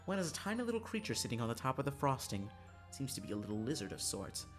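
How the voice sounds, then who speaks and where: A male streamer reads a visual novel aloud as dramatic narration. His mid-pitched voice moves up and down in a lively way, with faint game music behind it. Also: fast.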